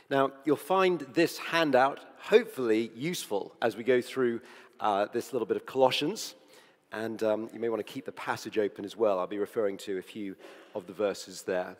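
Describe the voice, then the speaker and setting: A man with a croaky, hoarse voice preaching a sermon in a church, earnest and conversational, with fillers and self-corrections. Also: fairly low pitch, in a large hall. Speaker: preacher on the church leadership team.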